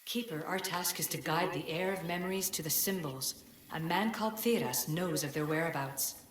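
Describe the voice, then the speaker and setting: Casting: woman to play an ancient oracle-like game spirit, low-pitched, measured and commanding, heard in echo. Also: lightly acted. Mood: cryptic, instructing. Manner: solemn, measured, oracular. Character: ancient female spirit in a staff, cryptic and commanding. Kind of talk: video game cinematic acting. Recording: echoey voice over loud background music.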